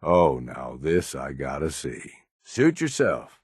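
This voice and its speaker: An actor playing a tough, cynical middle-aged raider henchman in a video game, with a gravelly voice and rough, folksy, sardonic slang. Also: extremely low pitch, sing-song, takes it slowly, a little mellow, no room sound, studio quality, heavily acted. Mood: gruff, conversational. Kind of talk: video game character voice lines.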